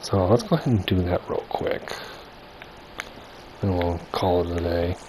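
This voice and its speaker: A man's voice: low voice, lively intonation, unhurried, noisy background. A man giving casual commentary as he plays through a video game. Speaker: game streamer. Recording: game music behind the voice. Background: game music.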